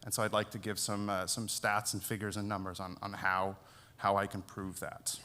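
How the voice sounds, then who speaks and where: A man's voice: lowish voice, moderate pace. Dutch man with an American-sounding accent. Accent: American-sounding English. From Netherlands. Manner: relaxed, self-deprecating, explanatory. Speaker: conference speaker. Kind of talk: technical conference talk. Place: conference hall.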